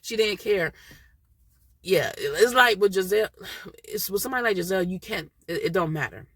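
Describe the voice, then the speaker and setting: This is a woman with a bright, crisp voice who sounds stuffy from a cold. She chats loosely and laughs often, and the recording sounds dry and close. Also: medium pitch, expressive, fairly quick, no room sound. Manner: chatty, laughs often, loose slangy talk. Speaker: reality TV reviewer. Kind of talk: casual chat.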